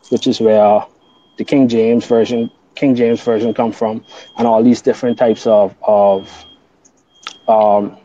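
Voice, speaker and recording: A man's voice: mid-range voice, warm tone, lo-fi sound. A man from the Bahamas, a guest on a casual podcast, who explains his beliefs earnestly and at length, often restarting his sentences.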